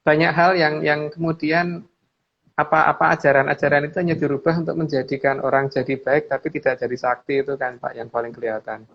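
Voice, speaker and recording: A man's voice: fairly high pitch, flat delivery, a little fast. A middle-aged man explains his opinions in casual discussion, often repeating phrases and seeking agreement. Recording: dull lo-fi audio, clear background noise.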